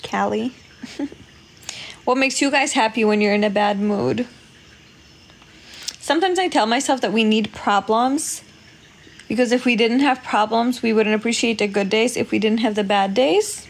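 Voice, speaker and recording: A woman's voice: fairly high pitch, normal speed, gravelly, pauses often. A woman from Poland hosting a casual livestream, chatting with viewers, thanking them and laughing often. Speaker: livestream host. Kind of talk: casual livestream chat reading comments.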